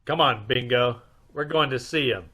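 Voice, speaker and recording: A man playing a brisk, suave, wisecracking private detective in an old-time radio comedy-mystery. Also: medium pitch, pushed and bright, breathy edge. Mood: businesslike.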